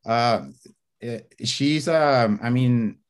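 A man's voice: medium pitch, extremely slow. Man rambling on a video call. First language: Spanish. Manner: rambling, many fillers and restarts, gesturing. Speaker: screenwriter guest. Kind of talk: casual interview over video call. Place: home study with bookshelves. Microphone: video call webcam.

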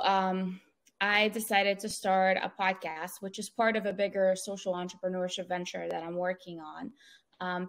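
A woman, answering interview questions in a measured way with many fillers, over a video call. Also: mid-range voice, fairly bright tone. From Ukraine, raised in Israel. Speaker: podcast guest. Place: small room at home. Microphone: wired earphone mic on video call.

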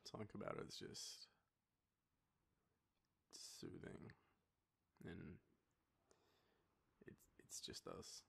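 A young Australian man acting an intimate boyfriend roleplay as a shy, nervous partner, speaking softly and haltingly into a close microphone. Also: low voice, sing-song, in a whisper. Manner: hesitant, nervous, halting with breathy trailing phrases. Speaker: audio roleplay performer. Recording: faint background noise, ambient sound.

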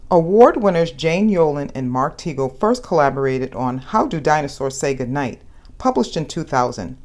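A woman's voice: sits low, normal speed. A woman hosting a children's read-aloud, telling a picture-book story expressively and dramatizing it for young listeners. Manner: animated, dramatizes questions and exclamations for young children.

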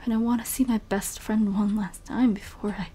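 An actress playing a hurt, caring but firm young woman in an emotional audio drama, breathy and earnest, often near whispering. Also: medium pitch, fairly even intonation, normal speed, mellow, a little hiss or hum, natural voice. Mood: tender, sad.

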